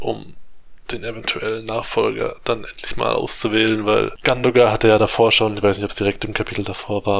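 A man's voice: on the low side, gravelly, a bit bright. A man on a casual podcast, recapping a book chapter in a rambling way with many fillers and restarts. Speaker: podcast co-host summarizing a book.

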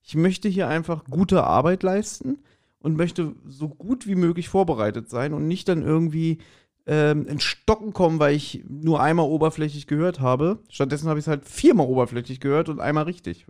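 A man's voice: fairly high pitch, moderate pace, a little mellow. A man hosting a podcast in casual, jokey chat, with a rambling, self-deprecating manner. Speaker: podcast host.

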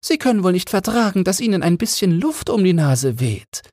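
A man's voice: high voice, sing-song, brisk, a little mellow, no room sound, clean studio sound, voice pushed up. A man voicing a cheeky, mocking little sparrow in a fairy-tale audiobook, with pert, gossipy chatter.

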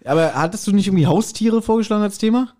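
Ein Mann, der als Podcast-Host locker und gesprächig plaudert, scherzhaft und selbstironisch. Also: male voice, high-pitched, rapid-fire, warm tone. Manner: chatty, self-mocking, jokey. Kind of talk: casual, joking podcast chat.

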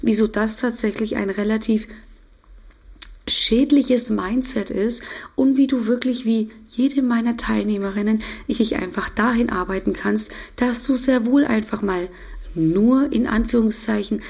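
German woman coach podcasting, bit hoarse, gravelly fry in her voice, chatty, keeps restarting sentences. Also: fairly high pitch, measured pace, a little mellow. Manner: chatty, explains with examples, often restarts sentences. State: slightly hoarse from a cold. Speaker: podcast host and intuitive eating coach. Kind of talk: casual solo podcast talk.

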